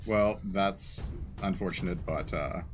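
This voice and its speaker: A man's voice: deep voice, slow, over loud music. A man chatting casually as a player in a tabletop role-playing game.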